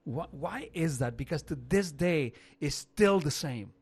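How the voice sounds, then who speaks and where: A man in his fifties hosting a radio talk show, English not his first language, talking in an animated way and often repeating words as he starts a sentence. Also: on the high side, measured pace, husky. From not Canada.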